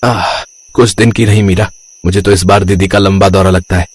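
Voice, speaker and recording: An actor playing a mild, hesitant, accommodating middle-class husband and younger brother in a scripted moral story. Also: male voice, low voice, steady tone, moderate pace, husky. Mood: worried.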